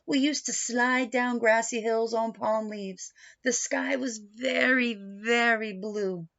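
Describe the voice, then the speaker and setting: A woman's voice: on the high side, steady tone, slow, vocal fry, fairly bright tone, muffled recording, exaggerated voice. A woman voicing a caring, dreamy mother from a children's storybook. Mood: dreamy, wistful. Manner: dreamy reminiscing, with firm calls of caution.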